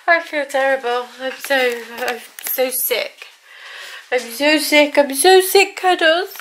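A woman's voice: sits high, slow pace, a bit bright. A playful, giggly woman chatting casually as a mother in a family home video. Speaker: mother filming a family vlog. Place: home kitchen, indoors. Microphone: handheld camera mic at arm's length. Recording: noisy handheld home recording with clicks.

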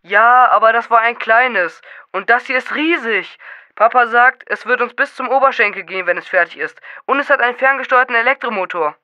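A performer playing a confident, boastful, excitable boy in a children's radio play. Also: mid-range voice, a little fast, dry recording, highs cut off, natural voice. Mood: excited. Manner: cocky, dismissive, eager.

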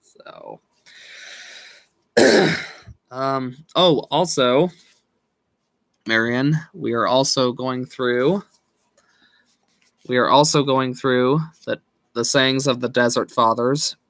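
A man in his thirties chatting casually with viewers on a live stream from a small room at home, slowly losing his voice and speaking in halting restarts. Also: mid-range voice, vocal fry, a bit bright, no room sound. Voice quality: slow, halting, with frequent restarts and laughs. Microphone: webcam at desk.

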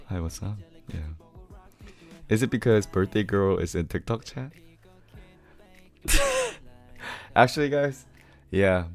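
A young man with a low voice chatting casually on a livestream, reading comments, laughing often and full of fillers. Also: darkish tone. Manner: laid-back, laughs often, many fillers. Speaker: streamer. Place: small home room with keyboard. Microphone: studio mic on arm near face.

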